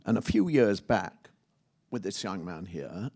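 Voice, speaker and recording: A man's voice: sits low, animated, measured pace, darkish tone. A man in a conference audience asking pointed, insistent questions. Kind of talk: public Q&A question.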